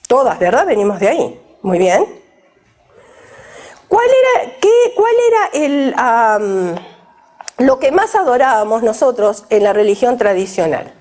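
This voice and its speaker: Woman preacher, high, lively delivery, emphatic, puts questions to the room. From Argentina. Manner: questions the audience directly, emphatic. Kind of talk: sermon to a women's gathering.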